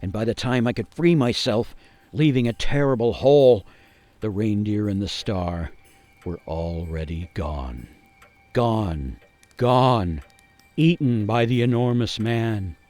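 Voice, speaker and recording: Gingerbread man narrator, lowish pitch, grave, haunted, theatrical radio drama, mock-solemn, dramatic pauses, faint music underneath. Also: darkish tone, dry recording. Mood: grim, rueful, then urgent, alarmed. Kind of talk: dramatic first-person storytelling for a radio play.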